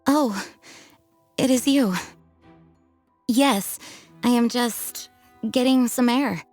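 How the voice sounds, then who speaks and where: An actress playing an earnest, dignified young woman knight in a video game, a gentle leader, sincere and composed. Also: fairly high pitch, very slow, soft background music. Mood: grateful, calm.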